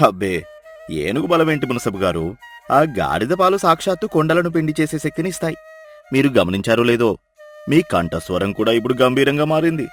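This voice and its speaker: A man's voice: mid-range voice, big pitch swings, brisk, close to an everyday voice. A man playing an obsequious village healer and henchman who heaps exaggerated praise on his lord in a dramatised moral story. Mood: fawning, boastful. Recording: music behind the voice.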